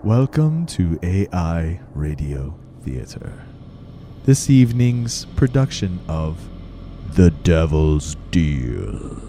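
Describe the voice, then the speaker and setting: A man's voice: low-pitched, sing-song, takes it slowly, warm tone, soft background music, pitched below the performer's own voice, full character voice. A man putting on a formal, solemn announcer voice to narrate a radio play. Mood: solemn, theatrical.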